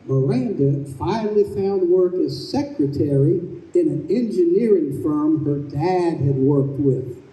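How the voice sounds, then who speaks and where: A man playing the measured storyteller of an old-time radio play, reading scripted narration. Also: a little high, lively intonation, warm tone. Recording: echoey live stage.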